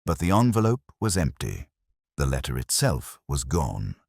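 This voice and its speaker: Male narrator, very low pitch, expressive intonation, dry close sound, measured and reflective, brooding inspector telling his case. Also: gravelly, breathy edge, no room sound. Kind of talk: scripted first-person detective story narration.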